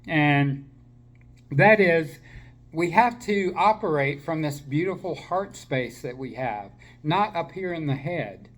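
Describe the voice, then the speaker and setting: Man with a slight cold, slow spiritual talk. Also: fairly high pitch, expressive. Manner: slow, gentle, with many fillers and restarts. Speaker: spiritual teacher.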